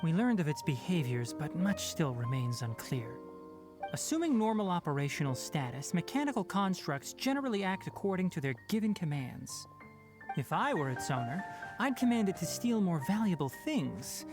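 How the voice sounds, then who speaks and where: A young male fox-eared forest watcher from a video game, analytical and no-nonsense. He is brisk and matter-of-fact, yet his pitch moves widely over prominent music. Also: very animated. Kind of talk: video game character dialogue.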